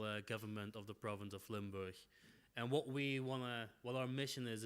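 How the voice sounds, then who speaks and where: A middle-aged man from Belgium giving a conference presentation in a relaxed way, with frequent fillers and restarts. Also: mid-range voice, smooth. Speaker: conference speaker.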